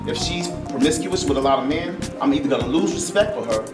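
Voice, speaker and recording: A man's voice: a little high, expressive, fast talker. A man speaking earnestly and emphatically in a panel discussion, repeating phrases as he gives personal testimony. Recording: echoey, distant mic, loud music.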